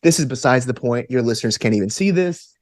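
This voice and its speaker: A man with a mid-pitched voice chats casually as a podcast guest. He is enthusiastic and geeky, wandering into tangents as he goes. The sound is dry, with hardly any room to it. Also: animated, fast talker, a little mellow, no room sound. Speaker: podcast guest, actor and tabletop gamer. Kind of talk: casual interview chat.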